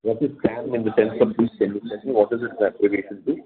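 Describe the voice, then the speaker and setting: A man's voice: on the low side, steady tone, fast talker. A courteous man with an Indian accent, answering investor questions as a company executive on an earnings call, explaining off the cuff and repeating words for emphasis. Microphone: conference call line. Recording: dull lo-fi conference call line.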